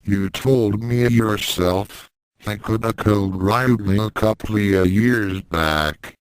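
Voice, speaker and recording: Man reading as deep-voiced grumbling young man. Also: slow, clean tone, no echo, rough recording. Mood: confident. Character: grumbling sleepy young man, not a morning person. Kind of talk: storybook dialogue read aloud.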